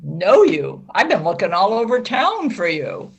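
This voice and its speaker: A woman playing a gruff but loyal small-town policeman in an amateur radio play over a video call. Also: medium pitch, wildly swooping pitch, a little slow, heavily acted. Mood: gruff concern.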